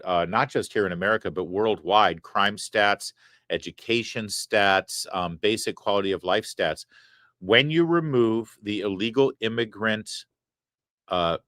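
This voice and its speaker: A man's voice: on the low side, fairly bright tone, no room sound, clean studio sound. A man hosting a live talk stream, reading viewer messages aloud with chatty, wry asides. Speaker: talk show host reading viewer super chats. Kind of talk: casual live-stream chat. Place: studio set.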